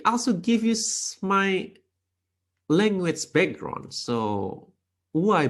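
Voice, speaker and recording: A man with an Indonesian accent gives a programming tutorial in careful, non-native English, lecturer style. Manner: slow, careful, with non-native grammar slips; lively intonation with sudden pitch rises.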